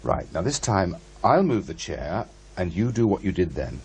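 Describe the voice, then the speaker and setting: An actor playing a polite, probing investigator in a radio drama, with a tentative, questioning manner. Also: male voice, deep voice, very animated, normal speed, a little mellow, slightly rough, heavily acted. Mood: businesslike. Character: probing, polite male investigator, eager and uneasy. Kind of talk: naturalistic radio drama dialogue.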